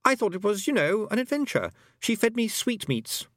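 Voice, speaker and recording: A man voicing a haughty yet anxious twelve-year-old lost boy in a storybook, emphatic and excitable. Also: high voice, moderate pace, no echo, clean studio sound, pitched above the performer's own voice. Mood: uneasy, recounting.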